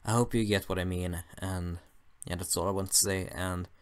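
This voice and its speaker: A man's voice: low-pitched, fairly even intonation, moderate pace, clear, resonant tone. A man reading a fan fiction story aloud in first person, hesitant and stumbling at times. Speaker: amateur story reader.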